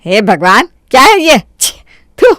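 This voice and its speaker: Woman acting a shrill, scolding mother-in-law, wildly animated. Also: unhurried, dry recording, studio quality, full character voice. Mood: disgusted. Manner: harsh, exclamatory, berating. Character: scolding, quick-tempered Indian mother-in-law. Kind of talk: Hindi cartoon story voice acting.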